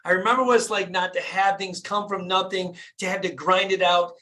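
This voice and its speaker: A man in his fifties from Michigan, a dentist hosting a live motivational call in an energetic, hyped-up way, often asking his listeners "right?". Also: high voice, a little fast. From Flint, Michigan, USA. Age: 50s. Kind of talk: motivational live-stream talk.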